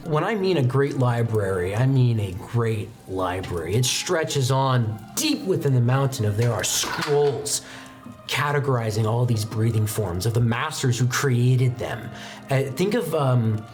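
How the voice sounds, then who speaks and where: A man's voice: medium pitch, lively intonation, a little slow. A man narrating a tabletop roleplaying game as game master, with dramatic description and casual asides. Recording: background music under the voice.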